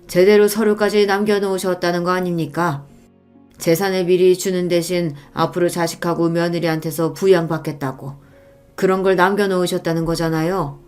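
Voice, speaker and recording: A woman's voice: on the low side, darkish tone, close to an everyday voice. Veteran taxi driver read by a female narrator, comfortable, reassuring, earnest radio-drama delivery. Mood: careful, probing.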